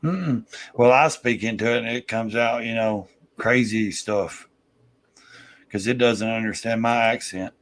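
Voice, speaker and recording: A man's voice: medium pitch, unhurried. Laid-back man chatting. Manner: laid-back rambling with laughs. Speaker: host. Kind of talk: casual chat.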